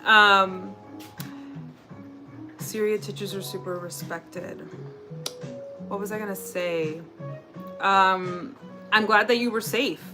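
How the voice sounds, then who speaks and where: A woman's voice: mid-range voice, fairly even intonation, slow, long pauses. An American woman hosting a casual livestream, chatting with viewers as she reads out their comments and replies. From United States. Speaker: livestream host. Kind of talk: casual chat with live viewers. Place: home kitchen while cooking. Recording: music playing behind the voice. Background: music.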